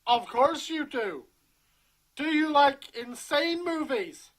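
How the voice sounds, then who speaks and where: A woman's voice: high voice, lively intonation, slow pace, poor audio. A woman doing a scripted comedic show intro, with an awkward, sheepish delivery. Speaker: web video show host.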